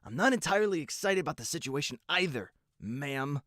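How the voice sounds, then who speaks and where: A man's voice: fairly high pitch, sing-song, a little fast, a bit bright, no echo, clean studio sound. A man voicing a dutiful, earnest young royal guard stallion in a fan audio drama, formal and politely restrained. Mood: reluctant, stiff.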